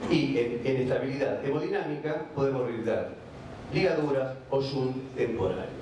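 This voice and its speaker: Argentine male surgeon, measured conference lecture with hesitations, noisy room, distant pickup. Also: mid-range voice. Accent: Argentine Spanish. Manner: measured, with frequent hesitation fillers. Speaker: vascular surgeon giving a conference talk. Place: large conference hall. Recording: echoey hall recording from afar, background noise.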